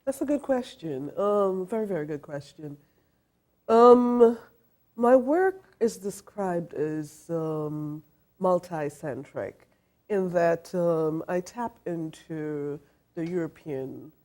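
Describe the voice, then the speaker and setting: Woman, low pitch, middle-aged, soft-spoken, hesitant fillers, interview guest. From Trinidad and Tobago. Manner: soft-spoken, thoughtful, many ums and uhs. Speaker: fashion designer.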